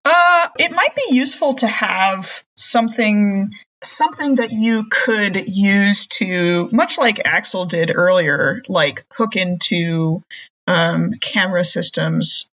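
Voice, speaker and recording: Woman, American, husky, playful player, self-correcting, muffled lo-fi audio. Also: mid-range voice, slow, a bit bright. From United States. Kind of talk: actual-play tabletop game. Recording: dull lo-fi audio.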